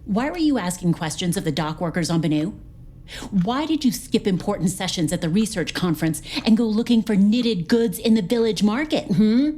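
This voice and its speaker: Woman acting a pushy female space investigator, mid pitch, lively swoops, suspicious, theatrical, idiom-mangling, comic audio drama. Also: normal speed, natural voice. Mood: pressing, accusing. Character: brash, suspicious, slang-mangling woman interrogator aboard a spaceship.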